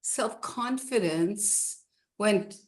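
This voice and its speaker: A woman's voice: mid-range voice, extremely slow. A woman in her sixties from Canada, a guest telling her life story in a rambling, casual chat with many restarts.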